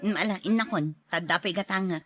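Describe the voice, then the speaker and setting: An actress playing a stubborn middle-aged unmarried schoolteacher aunt in a radio drama, with an Ilocano accent and an exasperated, emphatic manner. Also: female voice, medium pitch, a little fast, a bit bright, close to an everyday voice. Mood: resigned.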